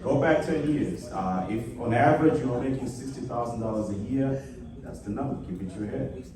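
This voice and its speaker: A man's voice: medium pitch, fairly quick, distant mic. A man from Tanzania addresses a live audience from a panel, walking them step by step through a money exercise and checking they follow. He sits well back from the mic in a big echoing hall. A crowd stirs behind him. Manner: leads the room through a number exercise, checking in often. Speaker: panel speaker. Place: event hall.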